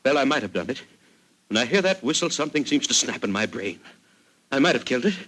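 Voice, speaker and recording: Tormented colonel, wildly swinging intonation. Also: male voice, medium pitch, very animated, fast, fairly bright tone. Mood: bitter. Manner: agitated, melodramatic, gruff. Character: tormented, bitter English colonel fearing his own madness. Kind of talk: 1940s radio acting. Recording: 1940s radio broadcast recording.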